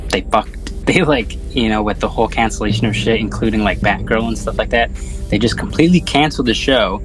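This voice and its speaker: A man's voice: fairly low pitch, a little fast, music in the background, low-quality recording. A man chatting casually about films as a podcast host, with a slangy, sweary, rambling way of talking. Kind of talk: casual film discussion chat.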